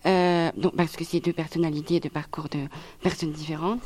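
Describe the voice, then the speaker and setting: Young woman, lowish voice, chatty, hesitant, lots of fillers. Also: little pitch movement, a little fast, darkish tone, a little hiss or hum. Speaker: audience member asking questions. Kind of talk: casual question.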